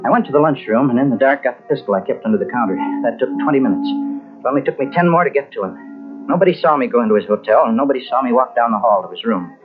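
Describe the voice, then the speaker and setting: A man's voice: medium pitch, quick pace, lightly acted. An actor playing a guilt-ridden, weary and bitter man confessing a murder in a 1940s radio mystery. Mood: tense, hurried. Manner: brooding, dramatic. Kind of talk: 1940s radio mystery acting, first-person narration. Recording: muffled old radio recording with music behind.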